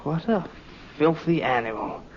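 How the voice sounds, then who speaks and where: A sceptical investigator talks informally, with pitch that moves freely. It is a radio crime drama, muffled by age and faintly noisy. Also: male voice, expressive, a little slow. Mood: puzzled, informal. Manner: informal, questioning. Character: sceptical, observant male investigator, inspector's informal colleague. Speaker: actor playing an investigator. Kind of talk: old radio drama acting.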